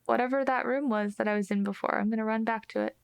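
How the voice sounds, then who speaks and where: A woman's voice: fairly high pitch, fairly even intonation, fast, creaky, clear, resonant tone. A woman speaking casually as a player in a tabletop game, describing her actions.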